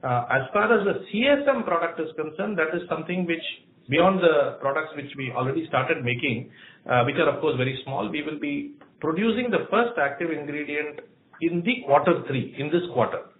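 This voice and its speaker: A man answering questions as a company executive on an earnings call, speaking in a halting, measured, explanatory way. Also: on the high side. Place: room on a conference call. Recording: roomy, narrow phone-like line with faint noise.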